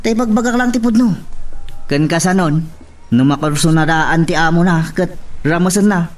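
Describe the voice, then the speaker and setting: A man's voice: a little high, normal speed, soft background music, lightly acted. A man with a Filipino accent acting a needling drinking buddy in a radio drama. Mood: teasing, needling. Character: needling male drinking buddy stirring up suspicion.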